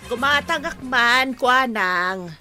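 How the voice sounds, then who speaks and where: A woman's voice: on the high side, animated, takes it slowly, sharp tone, soft background music, full character voice. A woman acting a proud, insistent friend with an Ilocano accent in a Filipino audio drama. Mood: pleased, breezy.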